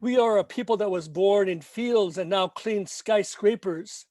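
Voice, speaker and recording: A man reading his own protest poem in a slow, emphatic, chanting way, after brief spoken remarks with some hesitation. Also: high voice, a little mellow, dry recording, clean studio sound. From Mexico. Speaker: poet. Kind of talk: poetry reading.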